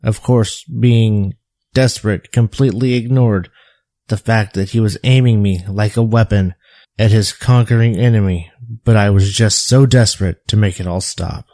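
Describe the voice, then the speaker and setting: A man playing a tormented survivor who recounts his painful past in a halting, reflective way in an audio drama. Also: lowish voice, steady tone, mellow, no room sound, close to an everyday voice. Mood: haunted, regretful. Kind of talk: audio drama dialogue, confessional storytelling.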